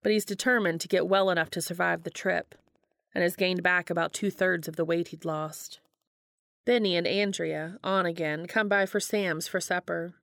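Lower-pitched woman reading a story aloud. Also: steady tone, moderate pace, vocal fry, a bit bright, dry recording. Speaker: fan fiction reader. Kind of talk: audiobook-style story narration.

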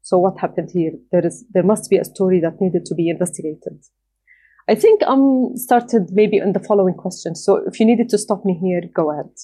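Mellow, husky woman, thoughtful pace. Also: fairly low pitch, expressive, fairly quick. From Qatar. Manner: thoughtful, frequent "let's say" fillers. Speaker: guest, community organizer. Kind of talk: conversational interview answers.

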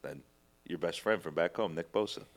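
A young man co-hosting a sports podcast, talking in a rambling, slangy, animated way. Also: deep voice, moderate pace, creaky. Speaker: podcast co-host. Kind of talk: casual sports chat.

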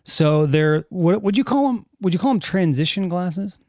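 Male podcast host, fairly high voice for a man, dry close mic, loose rambling delivery. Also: animated, moderate pace, darkish tone, no room sound. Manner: rambling, self-correcting, reads chat comments aloud. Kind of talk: casual live podcast chat. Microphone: studio mic with headphones.

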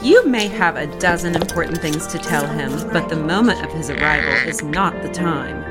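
A woman voicing a prim, submissive 1950s housewife reciting magazine advice in a measured, instructive manner for an audio drama. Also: low-pitched, expressive. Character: prim 1950s good-wife guide reciter, submissive cheer. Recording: loud music over the voice. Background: loud music.

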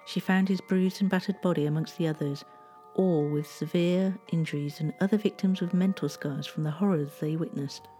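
Mellow woman narrating history. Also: on the low side, steady tone, soft background music. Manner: measured, gently storytelling delivery.

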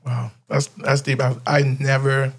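A man hosting a relaxed podcast interview at a middle pitch. He talks loosely with casual slang and keeps dropping "you know what I mean" between thoughts. His delivery stays conversational and unpolished. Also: a little slow, slightly rough. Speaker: podcast host.

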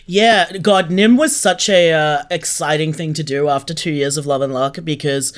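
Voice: medium pitch, fairly even intonation, normal speed. Chatty podcast guest thinking aloud. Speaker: podcast creator and writer, interview guest. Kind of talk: casual interview conversation.

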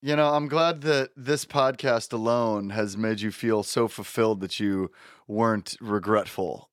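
A man's voice: fairly low pitch, steady tone, unhurried, smooth, no echo, studio quality. A young man co-hosting a podcast, chatting easily with a wry, laughing humor. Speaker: podcast co-host. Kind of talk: casual chat.

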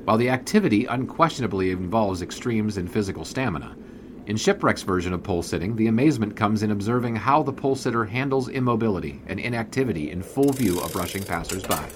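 An actor playing a wry, bemused 1920s newspaper sports writer, narrating in measured, formal and wordy prose for an audio drama. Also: male voice, fairly low pitch, animated, slight background noise, lightly acted. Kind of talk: scripted first-person narration in audio drama.

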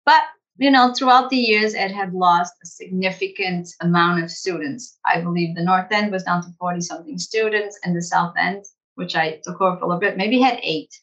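Animated woman guest, slightly muffled. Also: lowish voice, fairly quick, hardly any pauses. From United States. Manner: animated, conversational, laughs easily. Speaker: executive director of a language school. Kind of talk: casual interview storytelling.

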